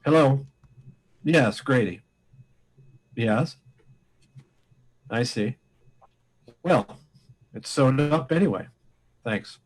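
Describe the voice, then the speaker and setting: A man playing a calm, methodical police inspector in an old-time radio mystery, deliberate and probing. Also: medium pitch, poor audio. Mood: measured, knowing.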